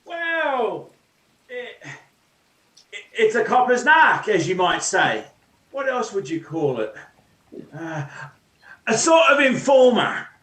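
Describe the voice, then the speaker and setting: Man as a high, distant, cheeky bystander. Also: big pitch swings, slow pace, pauses often, full character voice. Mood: teasing, warning. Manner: loud, teasing, informal street talk. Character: cheeky, matey street bystander, common folk. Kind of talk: theatrical staged play reading. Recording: echoey room, far from microphone.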